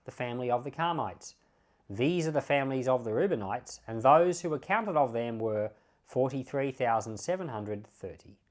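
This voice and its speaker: A man reading the Bible aloud as a pastor, in measured phrases with emphatic openings. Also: medium pitch.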